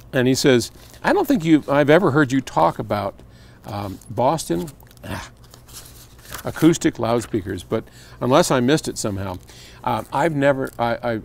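A man's voice: medium pitch, vocal fry, a little mellow. An older man talking casually to the camera outdoors, answering a viewer's question in a chatty, rambling way with lots of fillers and restarts. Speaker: audio company founder answering viewer questions. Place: outdoors on stone steps by a garden.

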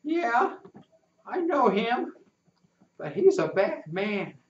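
Man putting on a squeaky scruffy boy. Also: very animated, very slow, a little hiss or hum, full character voice. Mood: spooked. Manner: rustic ungrammatical talk. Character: scruffy boastful boy of about ten. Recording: roomy and distant, muffled.

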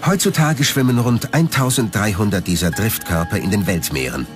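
Male documentary narrator, scripted read with lively pitch movement, music underneath. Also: normal speed. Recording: music behind the voice. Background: background music.

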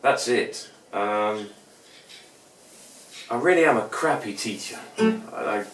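A man in his forties, a bit hoarse, giving a casual, rambling guitar tutorial at home with many restarts. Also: on the low side, animated, slow pace, fairly bright tone. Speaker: guitar teacher. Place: small cluttered room at home. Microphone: camera mic from a few feet away. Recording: echoey room, distant camera mic, guitar playing. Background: acoustic guitar playing.

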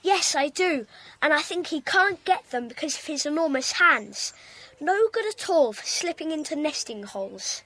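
Earnest child adventurer for children's audio drama, high and bright, emphatic. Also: female voice, animated, normal speed, exaggerated voice. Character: earnest schoolchild in a secret club.

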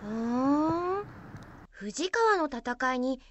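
A woman's voice: high voice, expressive, slight background noise, full character voice. A woman playing a curious, admiring young schoolgirl in an amateur radio drama, asking questions and musing. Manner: inquisitive, drawn-out musing.

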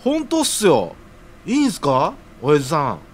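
A man's voice: fairly high pitch, huge pitch swings, a little slow, a little hiss or hum. A man playing an earnest, bashful young man in an audio drama, speaking in casual but deferential slang. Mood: excited, grateful. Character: earnest young man, breezy, bashful junior, newly engaged.

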